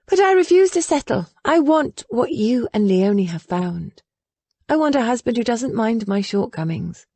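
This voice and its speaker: Young noblewoman, spirited and yearning, voiced by a female narrator, high emphatic lines, mellow tone. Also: big pitch swings, normal speed, no echo. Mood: earnest. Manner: emphatic, rising and falling sharply.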